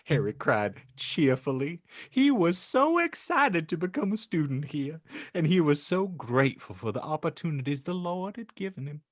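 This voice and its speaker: A man's voice: fairly high pitch, sing-song, moderate pace, no echo. Guy narrating a silly fan fic on a podcast, bouncy sing-song delivery, dry close mic. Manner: playfully exaggerated, laughs often. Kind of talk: mock-pious comedic reading of fan fiction.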